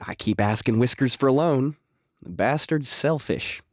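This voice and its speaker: A man's voice: medium pitch, lively intonation, moderate pace, dry recording, close to an everyday voice. An actor playing a wisecracking, jittery, volatile male locksmith outlaw in a western audio drama, with a sardonic, rambling, folksy way of talking. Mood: teasing.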